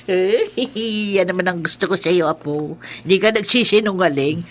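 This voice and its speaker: A woman's voice: lowish voice, moderate pace, lightly acted. A woman playing a feisty, doting old grandmother in a radio drama, folksy and exclamatory. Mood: delighted, doting. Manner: folksy, exclamatory, teasing and fussing.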